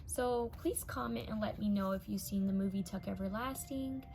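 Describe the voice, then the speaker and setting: A young woman with a gravelly, mellow, slightly raspy voice talks straight to her viewers through a tarot reading, slipping into soft whispers as she studies each card. A faint hiss sits under the voice. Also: mid-range voice, normal speed. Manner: intuitive, addresses viewers directly, drops to whispers while reading cards.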